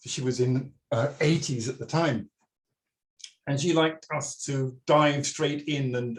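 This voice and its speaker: A man in his sixties presenting a workshop over a video call, telling stories and asking his audience questions. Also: medium pitch. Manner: animated, storytelling, asks the audience directly. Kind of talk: online workshop talk.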